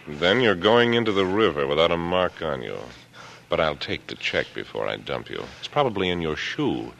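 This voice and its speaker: An actor playing a smug, calculating middle-aged killer in an old American radio drama, speaking in a taunting, deliberate, condescending way. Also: male voice, rumbling low, creaky, fairly bright tone, heavily acted. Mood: cold, taunting. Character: coolly menacing older killer, smug, patronizing and calculating. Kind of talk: 1940s radio drama acting. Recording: noisy vintage radio broadcast recording.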